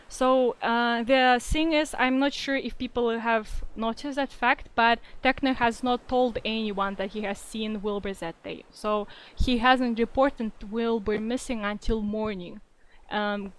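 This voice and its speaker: A woman's voice: on the high side, smooth, low-quality recording. A young woman with a Russian-influenced accent answering reader questions in a casual livestream, hesitant and full of fillers. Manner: hesitant, many fillers and restarts, reads comments aloud. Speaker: fanfiction writer answering readers.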